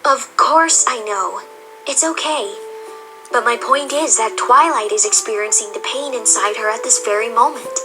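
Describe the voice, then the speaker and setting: A woman playing a calm, solemn pony princess in an audio drama, formal and measured. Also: fairly high pitch, close to an everyday voice. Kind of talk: fan audio drama acting. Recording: music behind the voice.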